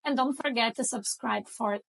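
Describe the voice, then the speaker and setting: A woman whose first language is Portuguese, hosting a software tutorial and explaining each step in a chatty way, often checking in with the listener. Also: fairly high pitch, moderate pace, vocal fry. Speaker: tutorial host. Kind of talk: screen-recording software tutorial.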